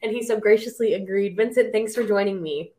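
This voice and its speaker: A woman's voice: mid-range voice, brisk, a little mellow. A woman hosts a podcast, interviewing in a casual, conversational style. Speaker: podcast host.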